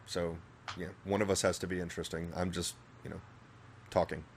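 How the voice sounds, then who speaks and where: A man's voice: sits low, a little fast, a little hiss or hum. A man from Northern Virginia with a general American accent, co-hosting a casual podcast and joking crudely with his co-host. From Northern Virginia, USA. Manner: crude, swearing, animated and joking. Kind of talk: casual chat.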